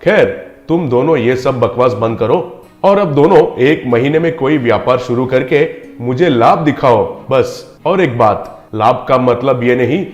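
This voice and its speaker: A man's voice: mid-range voice, expressive, unhurried, roomy sound, muffled recording, close to an everyday voice. A man playing a stern, wealthy middle-aged father who scolds with authority in a moral story. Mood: scolding, commanding. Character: stern, wealthy village father lecturing grown sons. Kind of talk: animated moral story dialogue.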